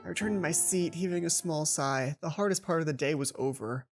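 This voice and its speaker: A let's play host reads a visual novel aloud in a casual way. The narration often trips and restarts, broken up by offhand comments. Game music is clearly audible behind the voice. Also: medium pitch, fairly quick. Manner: stumbles over the text, self-interrupting.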